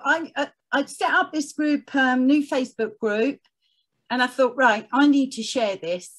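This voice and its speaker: Female podcast guest, fairly high voice, conversational personal storytelling. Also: low-quality recording. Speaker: podcast guest, charity chief executive.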